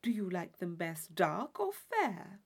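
A woman's voice: fairly low pitch, wildly swooping pitch, slow, breathy edge, voice pushed down, heavily acted. A woman voicing an affected, gushing young society lady in an audiobook of a classic novel, with theatrical emphasis. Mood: gushing, coy.

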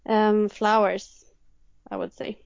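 A woman's voice: medium pitch, flat delivery, slow pace, gravelly. A woman in her thirties from Sweden, speaking English with a Swedish accent as an interview guest, hesitant and full of ums and restarts. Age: 30s. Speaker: guest illustrator. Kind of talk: casual interview answers. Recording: dry, dull lo-fi sound.

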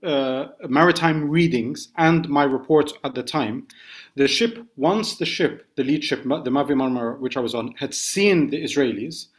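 Measured British male journalist. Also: medium pitch. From United Kingdom. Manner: measured, detailed recounting. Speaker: guest journalist. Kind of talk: podcast interview.

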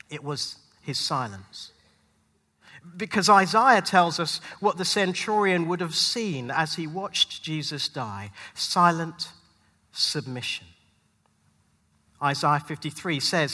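A man preaching as a pastor in a dramatic spoken-word style, with rhetorical repetition and deliberate pauses, recorded live in a large venue. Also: high voice, bright, forceful tone. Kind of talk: dramatic sermon with rhymed spoken-word passages. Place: large indoor venue, speaking live to a gathering. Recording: live hall with long reverb.

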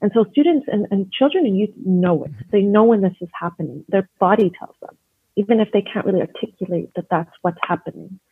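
A woman's voice: medium pitch, fairly quick, husky, no echo. A woman from Canada, an educator being interviewed, speaking earnestly and thoughtfully with frequent restarts. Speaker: educator and guest. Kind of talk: conversational interview. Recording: dry phone-like line.

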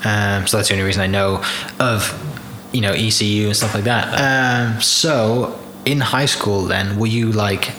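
A man's voice: lowish voice, fairly even intonation, normal speed, pushed and bright. A man hosting a podcast interview, talking in an easygoing, chatty way with rambling asides, recorded in a room of a house. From Oklahoma, USA. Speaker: podcast host. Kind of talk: casual interview chat. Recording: roomy house room, faint background noise.

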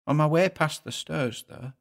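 A man's voice: on the high side, normal speed, gravelly, voice pushed up. A man voicing a meek, hesitant middle-aged innkeeper in an audio story. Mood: uneasy, halting. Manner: hesitant, plain-spoken. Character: short, meek middle-aged male innkeeper, nervous and halting.

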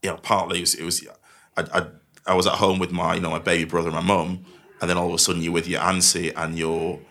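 A man's voice: low voice, little pitch movement, fast, bright, forceful tone. A man with a diluted Mancunian accent, telling his life story as an interview guest and often repeating and rephrasing his words. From Manchester, England. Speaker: podcast guest, investment professional. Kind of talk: candid conversational interview, telling life stories.

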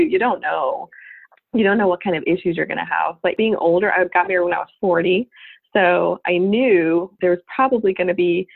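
A woman's voice: fairly low pitch, gravelly. Woman in her forties on a tinny line. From Long Island, New York. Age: 40s. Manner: rambling, frequent fillers and laughs. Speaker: guest. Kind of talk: casual interview chat. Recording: phone-like narrow sound.

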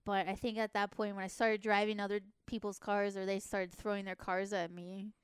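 A soft-spoken young woman, a racing driver, talking modestly and hesitantly as a podcast guest. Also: mid-range voice, level tone, normal speed, clean tone, dry recording, studio quality. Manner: modest, hesitant, many fillers. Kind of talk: casual podcast conversation.

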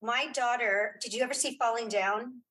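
Middle aged woman, kinda high voice, casual interview guest, animated and emphatic, telling stories. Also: moderate pace. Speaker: interview guest, writer and director. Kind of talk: casual chat, telling anecdotes.

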